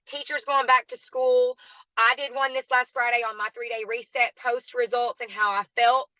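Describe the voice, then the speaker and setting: A woman with a raspy, hoarse voice that still sounds bright and forceful, pitched a little high. She speaks in a chatty, encouraging way as a guest speaker. The recording is dry and slightly dull. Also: no room sound. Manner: chatty and encouraging, addresses listeners as "y'all". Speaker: guest speaker at a team training. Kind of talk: motivational training talk.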